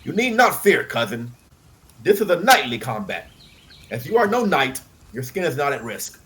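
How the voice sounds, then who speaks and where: A man's voice: medium pitch, very animated, normal speed, a bit bright. Guy doing a cocky young knight, mocking and full of himself, voice swoops around, audiobook. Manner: mocking, grandly confident. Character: pompous, boastful young knight, eager for glory. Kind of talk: audiobook character acting.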